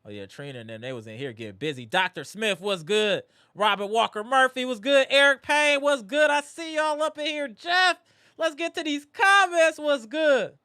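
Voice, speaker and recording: A man hosting a live postgame basketball reaction stream, animated and greeting viewers in the chat, his voice strained from yelling. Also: very high voice, moderate pace, clear, resonant tone, no echo, clean studio sound. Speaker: livestream host.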